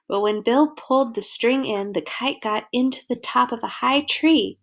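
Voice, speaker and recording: A woman storyteller reading a children's story aloud, expressively, with deliberate dramatic emphasis. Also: a little high.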